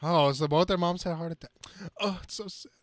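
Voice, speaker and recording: A man's voice: sits high, brisk, a little hiss or hum. A man hosting a podcast, chatting casually and jokily during a comic-book reading. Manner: jokey, easily amused. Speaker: podcast host.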